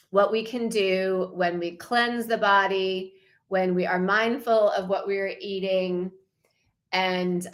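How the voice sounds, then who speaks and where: A woman in her fifties walks a group through her slides with upbeat, promotional enthusiasm. She talks at an unhurried, drawn-out pace, leaning on her words, in a voice of ordinary middle pitch. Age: 50s. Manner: enthusiastic, promotional, slow and deliberate. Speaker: wellness coach. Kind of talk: slide presentation for a challenge group.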